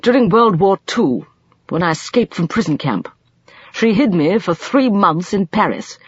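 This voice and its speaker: A woman's voice: mid-range voice, expressive, unhurried, husky, dry recording, highs cut off, voice pushed up. A woman voicing a practical, firm older uncle in an audiobook novel, speaking earnestly and with emphasis. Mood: earnest, moved.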